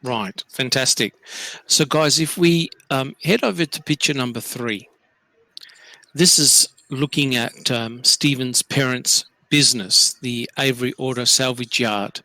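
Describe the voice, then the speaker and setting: Bright-voiced Australian man hosting. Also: medium pitch, a little slow, no echo, rough recording. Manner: leads with questions, many fillers. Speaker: podcast host. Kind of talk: casual group discussion.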